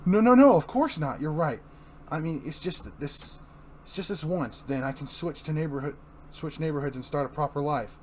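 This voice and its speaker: A man's voice: fairly high pitch, lively intonation, moderate pace, darkish tone, slight background noise, natural voice. A man playing an anxious, self-doubting young husband and failed comedian, hesitant and rambling, in an amateur comic reading. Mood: nervous.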